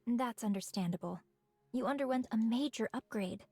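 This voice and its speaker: Woman as a high-voiced, probing researcher. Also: moderate pace, a little mellow, dry recording, natural voice. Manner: curious, probing, poised. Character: inquisitive, composed female researcher with hidden menace. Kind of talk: dramatic game voice acting. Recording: music behind the voice.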